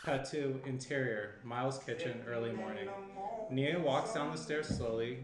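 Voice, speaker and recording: A man's voice: medium pitch, normal speed, loud music behind the voice. A young man reading stage directions as the narrator of a screenplay table read. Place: indoor room, seated reading from tablet. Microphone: shared desk microphone, some distance. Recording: roomy echo, faint background noise.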